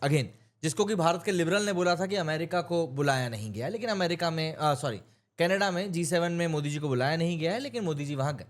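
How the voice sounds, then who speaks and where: A man's voice: fairly high pitch, lively intonation, brisk. An Indian man giving an opinionated news explainer, speaking emphatically and mixing in English terms. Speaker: news commentator.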